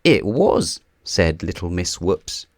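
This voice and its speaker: A man reading a children's storybook aloud in a theatrical, deliberate way, drawing out key words for emphasis. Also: deep voice, sing-song, darkish tone, no room sound, studio quality. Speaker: children's book reader. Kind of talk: scripted storybook read-aloud.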